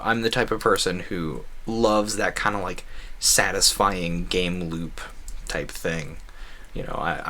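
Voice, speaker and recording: Low-voiced man rambling over a game. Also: sharp tone, slight background noise. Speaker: video game streamer. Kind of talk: casual gameplay commentary.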